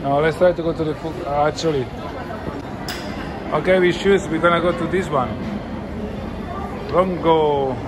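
A man's voice: a little high, steady tone, unhurried, long pauses. A man in his forties talks casually to a phone camera held at arm's length as he tours an indoor mall and restaurant, thinking aloud in non-native English phrasing. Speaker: travel vlogger. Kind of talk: casual vlog narration. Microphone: handheld phone camera at arm's length. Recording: loud crowd and mall noise. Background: crowd chatter and mall noise.

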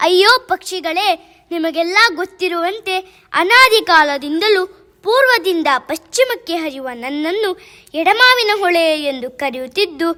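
A woman's voice: very high voice, animated, moderate pace, pushed and bright, slight background noise, exaggerated voice. A woman voicing a sorrowful river that weeps and laments being wronged, in declamatory speech. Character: weeping female river spirit, sorrowful and wronged. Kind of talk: amateur radio play.

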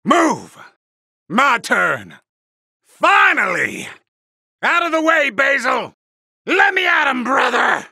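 Gravelly, snarling wolf-man villain. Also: very high-pitched, big pitch swings, takes it slowly, long pauses, no echo, studio quality, exaggerated voice. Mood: agitated, aggressive. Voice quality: gravelly, harsh. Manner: cocky, sneering, shouted battle taunts. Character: vicious, taunting male wolf-man poison fighter. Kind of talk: video game battle voice lines.

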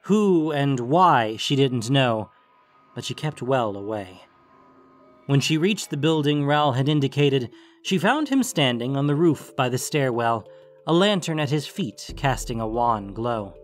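A man reads scripted fantasy fiction as the narrator. His voice sits at a medium pitch, with faint music underneath. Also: lively intonation, unhurried.

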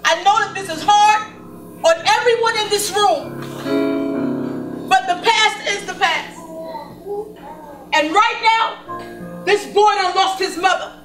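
A woman acts a forgiving pastor's wife and mother in a gospel stage play. She speaks slowly and earnestly, soothing as she goes. The voice is far from the mic with loud music behind it. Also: extremely high pitch. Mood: pleading calm. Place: indoor stage set, picked up from afar. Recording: distant roomy stage recording under loud music.

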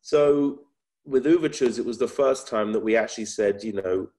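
A man's voice: fairly low pitch, normal speed, mellow. A man speaking as a filmmaker on an online panel, reflecting in a thoughtful, searching way and rephrasing as he goes. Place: indoor room on a video call. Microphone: video call.

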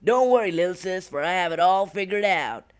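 Cartoon big brother, twelve played by a man: high voice, eager overconfident schemer, dramatic delivery. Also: animated, brisk, clear, resonant tone. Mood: eager, confident. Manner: dramatic, self-assured. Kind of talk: cartoon voice acting.

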